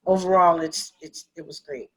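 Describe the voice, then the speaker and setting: A woman answering casually as an actor on a video-call panel, in a halting, reflective manner. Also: fairly low pitch, fairly bright tone, slightly rough, low-quality recording.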